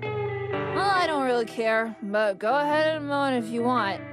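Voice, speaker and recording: A woman's voice: high voice, expressive, a little slow, over music, pitched above the performer's own voice. A woman voicing a brash, scornful young treasure-hunting woman in a visual novel, bratty and blustering. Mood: brash, needling. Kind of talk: visual novel character dub.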